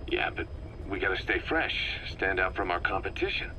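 A man's voice: low voice, animated, normal speed. An actor playing a wry, businesslike military man with an exasperated edge and casual phrasing, in a video game. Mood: eager, businesslike. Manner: casual, wry, hesitant asides. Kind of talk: scripted video game drama. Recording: faint background noise.

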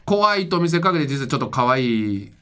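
A man's voice: medium pitch, big pitch swings, a little fast, bright, forceful tone, clear, resonant tone. A man with a Kansai accent co-hosting a radio show with casual, teasing banter. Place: radio studio.

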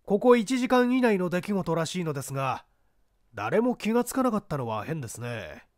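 A man voicing an authoritative prosecutor in a mystery audiobook, questioning witnesses formally and deliberately. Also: high voice, very animated, no echo, clean studio sound. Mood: probing.

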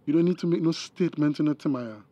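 An actor playing a smooth-talking, scheming boyfriend in a radio drama, coaxing and reassuring, with a Tobagonian accent. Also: male voice, a little high, fast talker, mellow, no echo, muffled recording, natural voice. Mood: coaxing, pleading.

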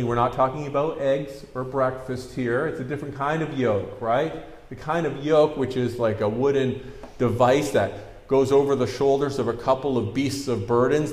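A man's voice: mid-range voice, a little mellow. A middle-aged Canadian man preaching a sermon in a church hall, talking conversationally and asking the congregation questions. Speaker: pastor. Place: church hall with a projector screen. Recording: roomy hall echo.

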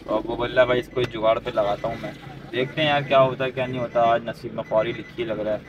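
A young Pakistani man chats into a handheld phone as a travel vlogger. His talk is slangy and full of complaint, at an average pitch. Clear vehicle and outdoor noise sits around the voice. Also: fairly even intonation, fast, muffled recording. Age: young adult. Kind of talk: casual vlog chat. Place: outdoors at roadside workshops and in a parked car. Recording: noisy roadside with vehicle and wind noise.

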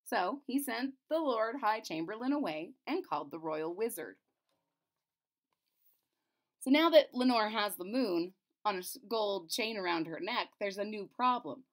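A woman's voice: medium pitch, expressive, unhurried. A middle-aged woman reading a children's story aloud with dramatic, emphatic expression. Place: small room indoors.

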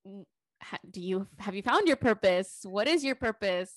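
A woman's voice: medium pitch, expressive, normal speed, no room sound. A young woman co-hosting a podcast in casual, informal, chatty talk. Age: young adult. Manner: informal, chatty, says y'all. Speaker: podcast co-host. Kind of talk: casual chat. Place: small bedroom at home, video call.

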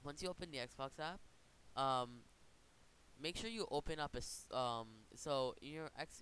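A man hosting an informal software tutorial, talking in a casual, rambling way and correcting himself as he goes. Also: medium pitch, low-quality recording. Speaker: tutorial host. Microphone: computer microphone. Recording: noticeable background hiss.

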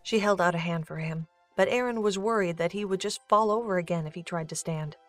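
A woman's voice: fairly low pitch, a little fast, soft background music. A woman giving scripted narration for a storybook-style audio drama.

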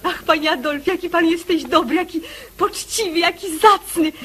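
High, sweet young lady. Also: moderate pace, a bit bright. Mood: coy sweet. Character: sweet, coy, eager young unmarried lady. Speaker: actress in a radio play.

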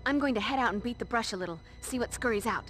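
A woman's voice: a little high, quick pace, a bit bright, natural voice. Woman playing a quippy teenage slayer girl, music behind. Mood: breezy, confident. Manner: flip, quippy delivery. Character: wisecracking teenage girl vampire slayer, brave and breezy. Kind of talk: video game voice acting.

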